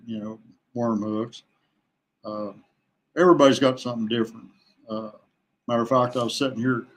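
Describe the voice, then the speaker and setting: A man from West Virginia chatting casually as a podcast guest, plain-spoken and halting, in no hurry. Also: mid-range voice, a bit bright. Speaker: podcast guest, veteran kayak angler. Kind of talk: casual chat.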